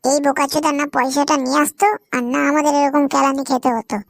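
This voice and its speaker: A crude, bossy young village lad hatches schemes in a very high voice, as a woman acts him out. The sound is dry and close, and he speaks in a comic cartoon. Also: flat delivery, quick pace, no room sound, soft background music. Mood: scheming.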